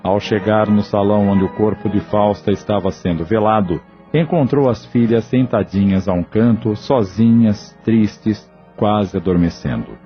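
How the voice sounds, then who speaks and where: Solemn low-voiced male narrator. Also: a little slow, few pauses. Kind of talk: scripted audio drama narration. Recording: dull lo-fi audio with faint music.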